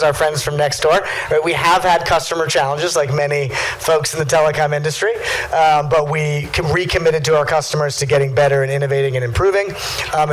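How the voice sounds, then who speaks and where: A man speaking as a business panelist, explaining casually and haltingly, often repeating his words. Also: fairly high pitch, rough recording. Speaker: marketing executive panelist. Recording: live event with clear background noise.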